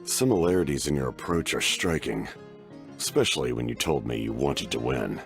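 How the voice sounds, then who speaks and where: A man's voice: a bass voice, lively intonation, normal speed, faint music behind. A man playing a grave, courteous military captain in a video game, speaking formally and with restraint. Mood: grave, respectful. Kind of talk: scripted video game voice acting.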